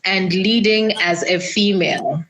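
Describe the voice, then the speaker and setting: A woman hosts a podcast and puts conversational questions to her guest, pausing on fillers. Her pitch sits in a middle range, and faint background noise can be heard. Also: fairly bright tone, rough recording. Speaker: podcast host. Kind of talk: interview questions.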